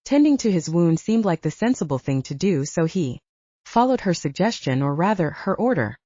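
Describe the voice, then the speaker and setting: A woman reads fiction as an audiobook narrator, her voice mellow and a little low. Her intonation swings expressively through the story. The sound is dry and slightly dull. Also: big pitch swings, normal speed, dry recording.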